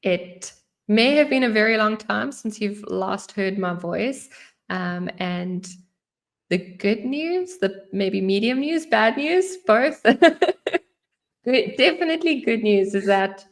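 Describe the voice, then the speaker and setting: A woman's voice: mid-range voice, lively intonation, takes it slowly. A South African woman hosting a podcast in casual chat, chatty and laughing now and then. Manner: chatty, laughs often, fillers. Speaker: podcast host.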